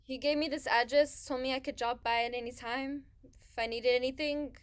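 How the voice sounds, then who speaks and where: An actress in a staged reading playing a grieving young pregnant woman who talks in halting, hushed phrases. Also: high-pitched, fairly even intonation, smooth, close to an everyday voice. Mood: wary, hurt. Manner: halting, hushed, searching for words.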